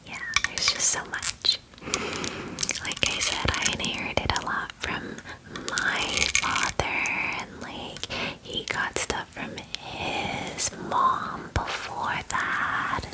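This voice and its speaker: A woman voicing a friendly, chatty young female purple dragon in a soft, whispered ASMR fantasy roleplay, with casual, girlish phrasing. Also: slow, lightly acted, poor audio. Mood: chatty, soothing.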